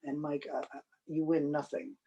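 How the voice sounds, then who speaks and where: A man's voice: fairly high pitch, monotone, moderate pace, warm tone, dull audio. A man hosting a casual unboxing, chatting and explaining in a playful, jokey showman way. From New York. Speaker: host, film collector and presenter. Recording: clicky, faintly noisy live room recording.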